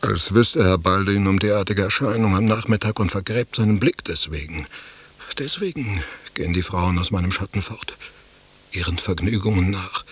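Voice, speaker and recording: An actor playing a sly, mocking, jilted husband in a literary radio play, with florid, ironic musing and a husky voice. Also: male voice, deep voice, moderate pace. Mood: brooding, musing.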